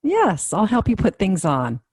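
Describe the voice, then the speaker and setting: A woman playing a polite, reserved middle-aged mother in a family radio drama, with a husky voice. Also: medium pitch, wildly swooping pitch, unhurried, darkish tone, a little hiss or hum. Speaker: actor in a radio play.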